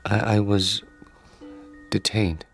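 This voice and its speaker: A shy, withdrawn son in a radio drama. His voice is fairly low, polite and halting, stumbling over words as he evades, with faint music behind. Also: steady tone, vocal fry. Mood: evasive. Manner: hesitant, stammering, polite. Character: meek, awkward, withdrawn grown son, quietly evasive.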